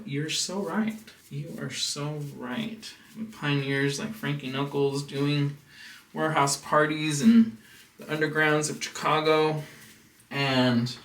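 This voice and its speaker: An American man telling a personal story as a casual vlog host, in a rambling, halting way. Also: on the high side, fairly bright tone. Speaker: house music producer and DJ hosting a vlog. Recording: roomy, distant mic with background noise.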